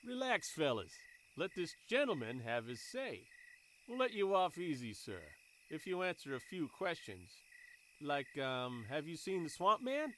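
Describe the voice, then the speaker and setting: Man playing a high-voiced cocky young gang leader. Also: sing-song, unhurried, sharp tone, a little hiss or hum, heavily acted. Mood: cocky, threatening. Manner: streetwise tough-guy talk, swaggering. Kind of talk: audio drama acting.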